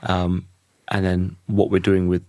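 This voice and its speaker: Casting: croaky man in his thirties, low voice, thoughtful and halting, dry close-miked sound. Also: monotone, darkish tone, no echo. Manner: thoughtful, restarts sentences often. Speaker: podcast guest. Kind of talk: reflective conversational interview.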